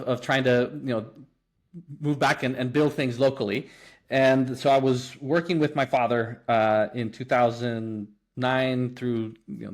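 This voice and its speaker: Earnest man from Guatemala explaining. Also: mid-range voice. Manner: earnest, explanatory, with frequent fillers and restarts. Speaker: guest, company co-founder. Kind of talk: conversational interview.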